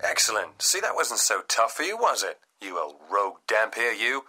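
A man playing a dry, scholarly mission guide in a video game, with a measured, wry briefing manner. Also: on the low side, very animated, very noisy. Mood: wry, urging.

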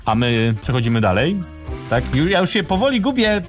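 A man's voice: a little high, sing-song, fairly quick, faint music behind. A man co-hosting a live radio show in casual conversation. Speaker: radio co-host.

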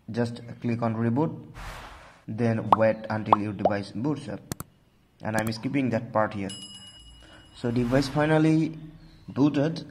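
A man with a mid-pitched voice hosts a phone tutorial. He narrates informally, guiding the listener step by step and often returning to the same stock phrases. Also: noisy background. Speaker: tech tutorial host.

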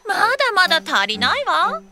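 A woman playing a cheerful young mother in a children's cartoon, with a gentle, reassuring manner. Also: extremely high pitch, wildly swooping pitch, moderate pace, over loud music, full character voice. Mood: cheerful, instructive.

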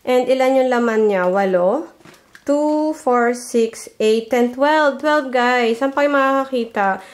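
A woman's voice: fairly high pitch, slow pace. A Filipino-accented woman narrating a haul vlog in a casual, chatty way, listing items one by one. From Philippines. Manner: chatty, itemizing. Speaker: vlogger. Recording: loud background music. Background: music.

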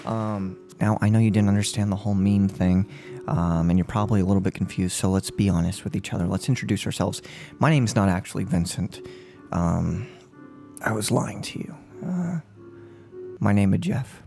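A man in his twenties playing a soft-spoken, unhinged serial killer in a menacing, mock-polite ASMR role play, close to the microphone. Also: lowish voice, normal speed, vocal fry, faint music behind. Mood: mock-polite, unsettling. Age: 20s. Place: room at home. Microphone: close desk microphone on boom arm.

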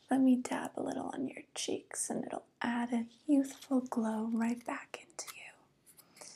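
Slow, whispering evil witch, played by a woman. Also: on the high side, exaggerated voice. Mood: falsely soothing. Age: young adult. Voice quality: breathy, whispery. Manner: slow, cooing, gently condescending. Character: evil youth-stealing witch, sly, vain, falsely tender. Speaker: ASMR performer. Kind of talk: soft-spoken ASMR roleplay. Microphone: close microphone.

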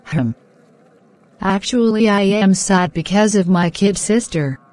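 A woman playing a gentle young woman in a children's cartoon, with measured, plain-spoken scripted lines. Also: medium pitch, no echo, natural voice. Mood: troubled. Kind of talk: simple scripted dialogue for children.

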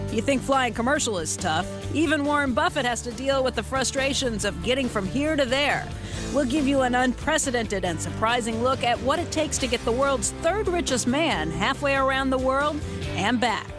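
A woman's voice: high-pitched, lively intonation, normal speed. A woman reporter reading scripted news documentary narration in a polished, upbeat voice with a light wry edge. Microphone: studio voice-over. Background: music underneath.

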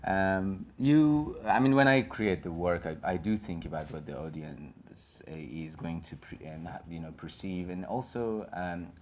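A man's voice: sits low, unhurried, few pauses, darkish tone, a little hiss or hum. A man in his thirties with a Persian accent, speaking on a gallery panel in an earnest, rambling way full of fillers and restarts. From Iran. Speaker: panelist and filmmaker. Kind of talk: panel discussion. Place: small gallery room with an audience.

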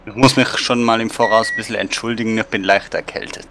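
A man's voice: on the low side, fairly even intonation, fast talker, a bit bright, a little hiss or hum. A man co-playing a game, talking in a casual, bantering way. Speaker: co-player. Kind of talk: casual gameplay chat.

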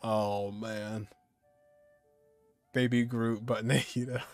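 A man's voice: lowish voice, flat delivery, slow pace, a little mellow. A man in his twenties giving casual, slangy, exclamatory live commentary on a game as a streamer. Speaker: gaming streamer. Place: indoor room, on webcam. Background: game music.

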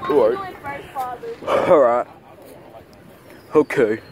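A man improvises dialogue for plush toys in a medium-pitched voice. His delivery is slow, halting and repetitive, rambling along as he goes. It is a noisy phone recording made outdoors. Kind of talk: improvised plush toy skit. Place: outdoors on grass at night. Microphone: handheld phone camera close by.

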